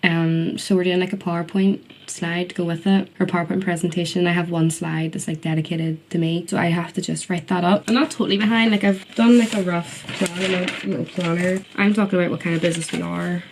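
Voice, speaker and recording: Low-pitched young Northern Irish woman. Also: little pitch movement, fairly quick, hardly any pauses, a little mellow, slight background noise. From Northern Ireland. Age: young adult. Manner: chatty, self-deprecating. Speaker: student vlogger. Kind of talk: casual study vlog chat.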